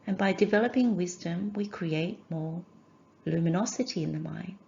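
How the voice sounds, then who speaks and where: A woman teaching a guided meditation in measured, gentle phrases with short pauses. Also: fairly low pitch, gravelly, dull audio, slight background noise.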